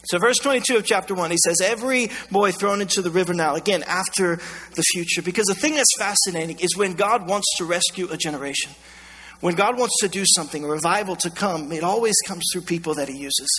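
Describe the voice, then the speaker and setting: A man's voice: high voice, fast talker, fairly bright tone, husky. A man with an American accent preaching a sermon in an emphatic, colloquial way, repeating phrases, his voice scratchy and strained. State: scratchy, strained voice going hoarse. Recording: long hall reverb.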